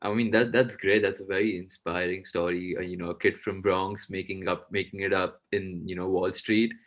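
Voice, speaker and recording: A young man with a low-pitched voice hosts a semi-formal interview. He introduces and questions his guest in the role of a student interviewer. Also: fairly even intonation, normal speed. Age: young adult.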